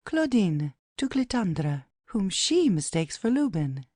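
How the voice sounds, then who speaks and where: A woman's voice: medium pitch, sing-song, slow pace, a little mellow, husky, no echo, studio quality. A woman narrating an audio play, reading out headings and stage directions as scripted narration.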